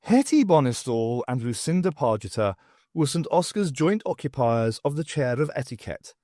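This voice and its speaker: A man reading fiction as an audiobook narrator, with expressive scripted delivery. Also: mid-range voice, no room sound, clean studio sound.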